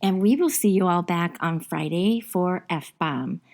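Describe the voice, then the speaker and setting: A woman's voice: fairly low pitch, animated, unhurried, gravelly, darkish tone. A middle-aged woman hosting a casual podcast chat. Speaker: podcast host.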